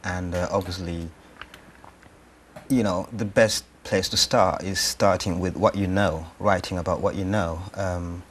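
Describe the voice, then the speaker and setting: A man's voice: sits low, steady tone, a little slow, a little hiss or hum. A man from Rwanda answers interview questions conversationally, hesitating as he thinks aloud. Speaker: actor-writer being interviewed.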